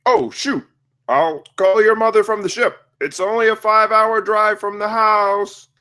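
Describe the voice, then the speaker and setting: A man acting a casual, sheepish father in his fifties at an animated comedy table read. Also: sits high, a little slow, exaggerated voice. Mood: flippant. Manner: casual, hesitant, brushing off bad news.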